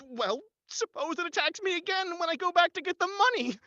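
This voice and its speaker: A man's voice: very high-pitched, expressive, brisk, bright, forceful tone, no room sound, heavily acted. A man playing a nervous, guilt-ridden, weak-willed accomplice in a melodramatic 1940s-style radio horror play, on a slightly dull recording. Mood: fearful. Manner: anxious, melodramatic, hesitant.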